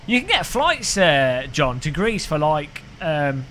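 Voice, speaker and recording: A man's voice: a little high, big pitch swings, takes it slowly, fairly bright tone, slight background noise. A man, chatting casually on a sim racing live stream, rambling and joking with his chat. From United Kingdom. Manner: rambling, joking, frequent restarts.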